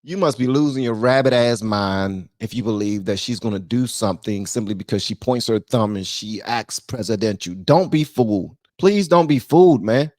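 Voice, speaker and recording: A man's voice: lowish voice, normal speed, few pauses, darkish tone, no echo. Male commentary host, emphatic, preachy, dry close sound. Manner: emphatic, preachy, rhetorical questions. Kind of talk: reaction commentary.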